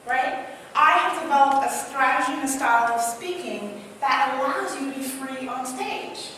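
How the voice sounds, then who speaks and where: A woman with a Trinidadian accent, a motivational speaker giving an energetic live talk to an audience in a large hall, asking them questions and telling personal stories. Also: high voice, moderate pace, a little hiss or hum. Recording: echoey hall, mic heard from a distance.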